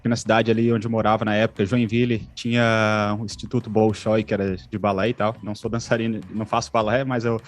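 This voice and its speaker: A man's voice: on the low side, level tone, fast, hardly any pauses. A man, telling his travel story casually with lots of "né" and "pô". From Santa Catarina, Brazil. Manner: loose, uses fillers like "pô" and "né". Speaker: interview guest, traveler.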